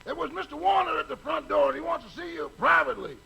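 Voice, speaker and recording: Radio comedy servant: very high, gravelly, excitable, announcing to the boss. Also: male voice, fast talker, full character voice, low-quality recording. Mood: urgent, deferential. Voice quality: raspy, high-pitched. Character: raspy, excitable male servant announcing a visitor. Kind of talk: 1950s radio comedy acting.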